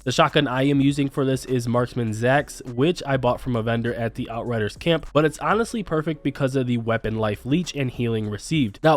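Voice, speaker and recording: A young man, a bit raspy from being sick, walking viewers through a game build in a friendly, explanatory voice-over. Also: mid-range voice. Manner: friendly, explanatory, addresses viewers as you guys. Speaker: gaming channel host.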